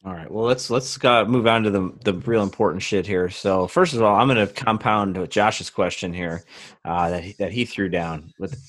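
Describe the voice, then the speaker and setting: A man's voice: on the low side, moderate pace. A man hosting a casual interview over a video call, joking loosely and restarting his sentences often. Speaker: podcast host.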